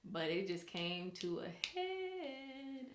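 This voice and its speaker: A woman's voice: sits high, very animated, slow, roomy sound, slight background noise. A woman co-hosting a podcast, talking casually and colloquially. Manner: colloquial, informal. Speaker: podcast co-host; news anchor. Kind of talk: casual chat.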